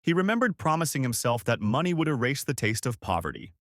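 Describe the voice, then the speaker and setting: A man's voice: mid-range voice, big pitch swings, quick pace, fairly bright tone, dry recording, clean studio sound. A man narrating a scripted dramatic story as a storyteller.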